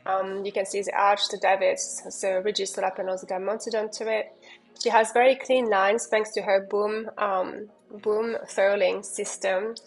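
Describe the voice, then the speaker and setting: A woman's voice: medium pitch, little pitch movement, moderate pace, rough recording. A woman giving an enthusiastic walkthrough of a sailing yacht as a broker, talking loosely with fillers and restarts. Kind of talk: walkthrough narration.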